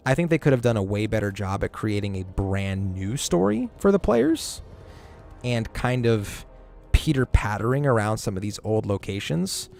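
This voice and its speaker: A man's voice: lowish voice, big pitch swings, moderate pace, vocal fry, darkish tone, soft background music. A man streaming a game, chatting casually and giving rambling, opinionated takes with plenty of swearing. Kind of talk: casual gaming stream chat.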